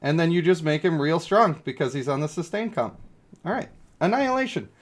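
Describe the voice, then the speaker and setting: A man with a slightly higher-than-average voice talks as a game streamer. He moves between reading text aloud and reacting in loose, slangy speech. His delivery is informal and conversational, as if thinking aloud for a live stream. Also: lively intonation, fairly quick.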